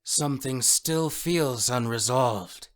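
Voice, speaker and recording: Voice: mid-range voice, slow, lightly acted. An actor voicing a stern, serious male antihero with a terse, brooding manner in a fan audio drama. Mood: grave. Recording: roomy, echoing voice track.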